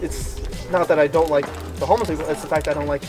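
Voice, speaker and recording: Young American man, enthusiastic outdoor interviewer, a bit high-pitched, background music. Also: steady tone, quick pace. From United States. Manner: eager, rambling, enthusiastic. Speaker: travel vlogger interviewing hikers. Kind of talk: casual interview. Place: outdoors on a mountain trail.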